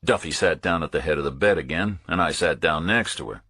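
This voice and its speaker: A man's voice: very deep voice, fairly quick, bright, forceful tone, breathy edge, dry recording, clean studio sound. A man reading a first-person thriller audiobook as a scripted narrator, in terse, clipped phrases.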